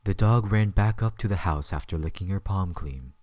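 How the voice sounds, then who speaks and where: A man's voice: sits low, moderate pace, darkish tone, no room sound. A man narrating a scripted story as the narrator of an audio drama.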